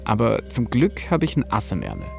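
A man's voice: fairly low pitch, huge pitch swings, fairly quick, gravelly, darkish tone, soft background music. A man narrating a scripted podcast as host, in a casual, personal storytelling manner.

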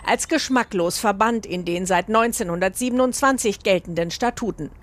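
A woman's voice: medium pitch, moderate pace. A woman narrating a scripted radio feature as a correspondent. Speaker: news correspondent.

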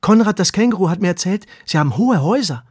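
A man voicing a curious, restless young male earthworm who talks in a high, excited, pleading way in a children's bedtime story. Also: quick pace, breathy edge, dry recording, clean studio sound.

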